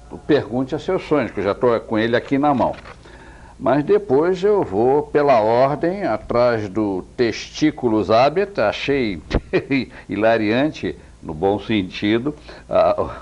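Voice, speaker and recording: A Brazilian man hosts a TV interview in a slow, husky voice that swings widely in pitch. He is effusive and talks to the viewers as friends. The sound is dull and lo-fi. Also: a little mellow. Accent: Brazilian Portuguese. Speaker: interviewer and show host. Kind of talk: chatty TV interview.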